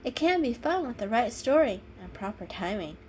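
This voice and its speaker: A woman's voice: a little high, very animated, normal speed, darkish tone, slight background noise. A woman voicing a kindly female calico cat in a storybook reading, explaining things patiently and knowingly. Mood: kindly, cheerful.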